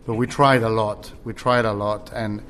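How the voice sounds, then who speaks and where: A man from Chile, a winery general manager, talking casually about wine with many halting restarts. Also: fairly low pitch, little pitch movement, a bit bright, a little hiss or hum. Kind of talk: casual conversation.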